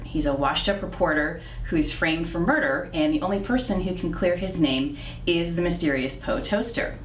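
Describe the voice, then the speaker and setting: A woman introduces a screenplay on stage in a low voice. The recording is echoey and distant from the mic, with faint noise. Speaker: screenwriter presenting their script. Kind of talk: prepared spoken pitch of a script. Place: theater stage.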